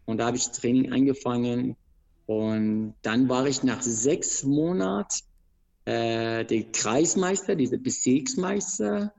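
A man from Iran with an Iranian accent, a wrestler recounting his career in an interview, speaking in halting, broken phrases with many restarts. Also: mid-range voice, vocal fry, darkish tone, muffled recording. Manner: broken grammar, frequent restarts and hesitations. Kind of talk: interview, telling his life story.

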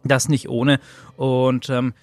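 A man's voice: mid-range voice, little pitch movement, slow, no echo, faint music behind. A man hosting a podcast on his own, talking casually and loosely with lots of filler sounds. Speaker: podcast host. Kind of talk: casual solo podcast commentary.

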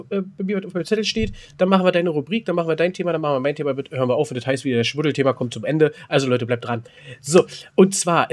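Guy co-hosting a podcast, slightly high voice, emphatic, slangy storytelling. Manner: colloquial, emphatic, mild swearing. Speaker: podcast co-host. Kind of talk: casual podcast chat and storytelling.

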